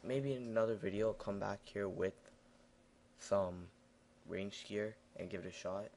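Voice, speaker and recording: A young man recording a casual gameplay voiceover, raspy and tired in the morning, rambling with many fillers. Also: fairly low pitch, fairly even intonation, a little slow, darkish tone, slight background noise. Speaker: gaming video narrator.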